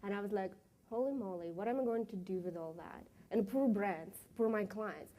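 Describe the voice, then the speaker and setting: A woman's voice: mid-range voice, moderate pace, dark tone. A woman presenting market research at a conference, explaining her points with frequent fillers and restarts.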